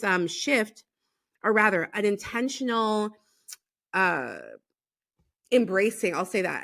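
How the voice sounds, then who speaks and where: A woman's voice: mid-range voice, fairly bright tone. A woman from Los Angeles hosting a podcast, chatting casually and eagerly affirming her guest. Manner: enthusiastic, lots of affirming backchannels. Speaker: podcast host. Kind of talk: casual conversational interview.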